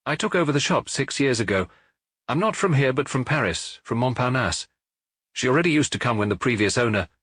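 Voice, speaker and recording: A man's voice: medium pitch, animated, a little fast, fairly bright tone, breathy edge, dry recording, studio quality. A man voicing a talkative, forthcoming bookshop owner in the dialogue of a detective audiobook. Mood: chatty, forthcoming. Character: talkative Parisian bookshop owner recalling a reserved customer.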